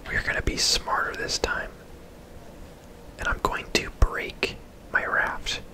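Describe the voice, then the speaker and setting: A person whispering casual, laid-back ASMR commentary over a video game, in a breathy, unvoiced voice. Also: slow. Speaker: host, gamer.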